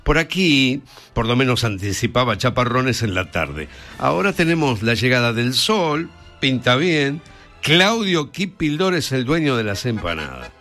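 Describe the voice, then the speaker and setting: A man's voice: mid-range voice, sing-song, measured pace, soft background music. An Argentine man hosting a live weekend radio show, chatting easily with callers and guests. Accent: Argentine Spanish. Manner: chatty, warm banter with guests. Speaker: radio host.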